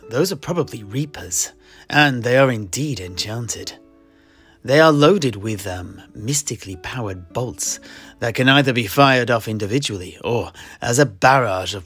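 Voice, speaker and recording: A man's voice: mid-range voice, expressive, a little slow, fairly bright tone, faint music behind. A man playing a scholarly tavern keeper and amateur historian, musing and explaining pedantically in a fantasy audio play. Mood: musing, explanatory.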